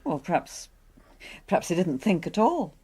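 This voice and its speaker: An actress playing a gentle, concerned policeman's wife in a radio drama, soothing and tentative. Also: lowish voice, big pitch swings, normal speed, husky, heavily acted.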